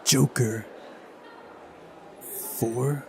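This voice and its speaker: Slow-talking mellow man, gaming commentary. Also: medium pitch, steady tone, a little hiss or hum. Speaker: let's play host. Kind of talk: visual novel playthrough, reading dialogue aloud with casual commentary.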